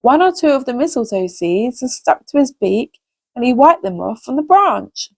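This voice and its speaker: A woman's voice: high voice, big pitch swings, normal speed, vocal fry, darkish tone. A woman hosting a story time for young children, reading expressively and addressing the listeners directly. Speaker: host reading children's stories.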